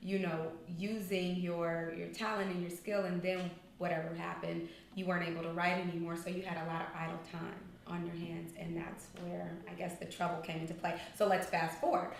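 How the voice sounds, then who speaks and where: A woman's voice: lowish voice, level tone, fairly quick, vocal fry, hardly any pauses. A woman hosting an interview, encouraging her guest with frequent affirmations. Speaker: interview host. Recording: very echoey room, faint noise.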